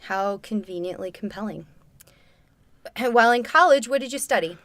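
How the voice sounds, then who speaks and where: A woman's voice: mid-range voice, expressive, normal speed, a bit bright, dry recording, lightly acted. Woman playing a polished, probing space talk-show host. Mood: polished, wry. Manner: formal, pointed, wryly insinuating. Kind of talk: comic sci-fi radio theatre interview.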